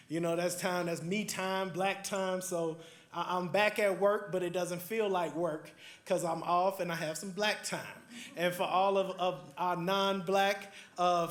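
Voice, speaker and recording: Man giving relaxed remarks in a roomy hall. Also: fairly high pitch. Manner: relaxed, filler-heavy, warm thanks. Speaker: university event speaker. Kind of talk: casual welcome remarks. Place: large indoor hall. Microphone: stand mic.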